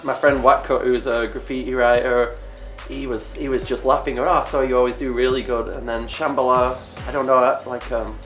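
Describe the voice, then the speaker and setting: Rambling Englishman with a softened accent, echoey room. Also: medium pitch, little pitch movement, moderate pace. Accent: English, softened by years in Canada. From England. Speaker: interviewed DJ. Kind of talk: casual chat. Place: indoors, studio interview. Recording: roomy echo, music behind. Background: music.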